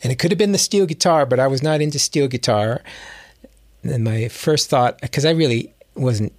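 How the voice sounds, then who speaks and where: A man's voice: mid-range voice, normal speed, no room sound, clean studio sound. A man from Hawaii telling stories casually as a guest musician and teacher, reflective and often rephrasing as he goes. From Hawaii, United States. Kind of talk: casual interview storytelling.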